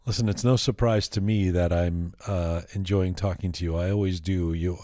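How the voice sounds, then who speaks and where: A man's voice: sits low, fairly even intonation, moderate pace, warm tone, slight background noise. A man hosting an interview, friendly and teasing, often repeating words as he restarts. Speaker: podcast host, interviewer. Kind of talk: conversational interview.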